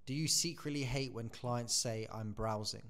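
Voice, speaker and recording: A man reading out quick-fire questions in a brisk, matter-of-fact way. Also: medium pitch, a bit bright. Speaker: question asker.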